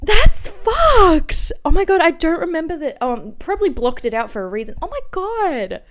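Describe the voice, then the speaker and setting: A woman in her twenties with an Australian accent hosts a podcast, reading her diary dramatically with animated, self-mocking asides. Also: very high voice, moderate pace. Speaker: podcast host.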